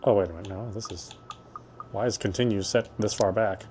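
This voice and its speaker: A man's voice: lowish voice, brisk, a little mellow, slight background noise. A man giving casual gameplay commentary, thinking aloud in a chatty way. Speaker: game streamer and host.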